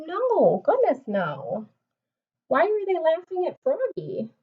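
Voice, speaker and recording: A woman's voice: very high voice, huge pitch swings, slow pace, narrow, tinny audio. A woman reading a picture book aloud to young children as their teacher, expressively explaining and asking them questions. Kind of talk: animated storybook read-aloud with questions to listeners.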